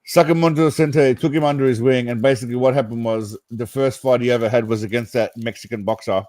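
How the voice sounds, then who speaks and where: A man's voice: mid-range voice, steady tone, fast, darkish tone, no echo. A man hosting a reaction show, delivering crude, sarcastic commentary full of profanity and mockery. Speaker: host reacting to clips.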